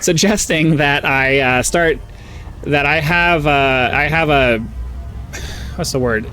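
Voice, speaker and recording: A man's voice: a little high, slow pace, bright, forceful tone, faint music behind. A man with a somewhat nasal voice, casually rambling through gameplay commentary and getting sidetracked, full of fillers. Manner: rambling, easily distracted, frequent fillers. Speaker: gaming channel host.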